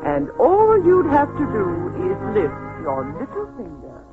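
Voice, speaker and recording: An actress playing a gushing, pushy society matron in an old-time radio drama, fussy and emphatic. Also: female voice, fairly high pitch, huge pitch swings, a little slow. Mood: coaxing. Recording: tinny old radio recording, music behind.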